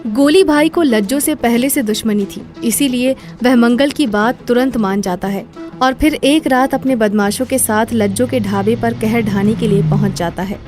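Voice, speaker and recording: A woman with a fairly high voice narrates a scripted tale. She works as the storyteller, with faint music underneath. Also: normal speed.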